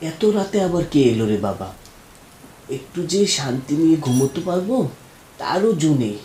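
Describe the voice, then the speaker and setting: A man's voice: a little high, very animated, normal speed, background noise. A man voicing a tired, kindly village doctor in a cartoon ghost story, full of questions and exclamations. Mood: weary, grumbling. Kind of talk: cartoon horror story acting.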